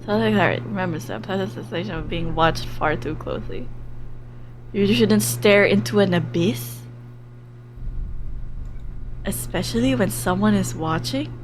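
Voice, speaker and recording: A woman livestreaming a visual novel, reading story text aloud and commenting on it in an animated, reactive way. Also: fairly low pitch, slight background noise. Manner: animated, reactive, giggly, drops into hushed narration. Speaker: streamer playing a visual novel live.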